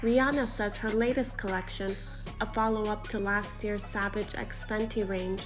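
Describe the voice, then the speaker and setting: A woman narrating an entertainment news report in a brisk, scripted way. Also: mid-range voice, fairly even intonation, over music.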